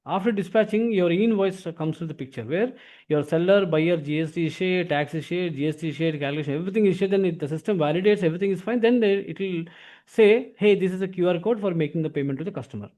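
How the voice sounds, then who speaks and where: A man with an Indian accent explaining as a software trainer in an online demo class, with repetitive phrasing. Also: high-pitched, quick pace. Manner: repetitive phrasing, often adds "actually".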